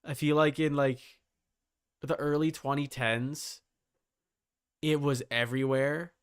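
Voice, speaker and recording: A man's voice: on the high side, little pitch movement, slow, clean tone. A man giving casual livestream commentary on a game, thinking aloud in slangy language with some swearing. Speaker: livestream host.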